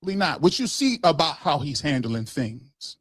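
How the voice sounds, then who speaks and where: A man with a notably high voice debates in a heated back-and-forth, firing off pointed questions one after another. His voice sounds close and dry, with no room around it. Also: sing-song, husky, no echo. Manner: argumentative, fires rapid pointed questions. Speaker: co-host.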